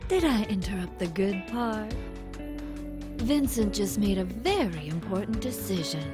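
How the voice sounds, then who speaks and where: A coy, giggling hostess who drawls her asides slowly and teasingly. Her lines sit over clear background music in a game cutscene. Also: medium pitch. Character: playful teasing female TV-show hostess narrator.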